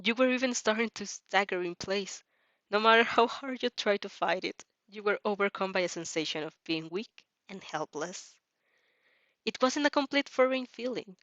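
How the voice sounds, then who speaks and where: A dry, slightly dull recording of a woman reading fiction aloud. She is expressive and giggly, tripping over the odd line and dropping into casual reactions. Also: mid-range voice, moderate pace, no room sound. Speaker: reader reacting to fan fiction.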